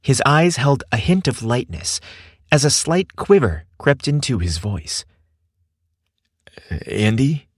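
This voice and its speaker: A man's voice: medium pitch, sing-song, a little slow, slightly rough, no echo, studio quality. A man reading a scripted story as its narrator.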